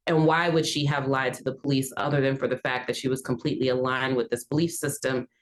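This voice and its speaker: A woman's voice: rumbling low, steady tone, fast talker. A woman giving assertive, articulate legal commentary as an analyst on a panel. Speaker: legal analyst, defense perspective.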